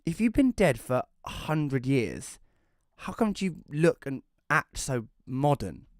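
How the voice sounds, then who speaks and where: A man in his thirties playing a glum, self-deprecating widower who narrates an audio drama in a dry, deadpan way. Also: medium pitch, slow, no room sound. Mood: wry, reflective. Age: 30s. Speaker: narrator and lead character. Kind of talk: first-person scripted narration in audio drama.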